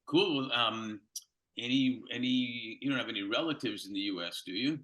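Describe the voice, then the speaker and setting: A man's voice: mid-range voice, normal speed, fairly bright tone. An older man hosting an interview series, friendly and rambling, often restarting his questions. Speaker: host. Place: Los Angeles.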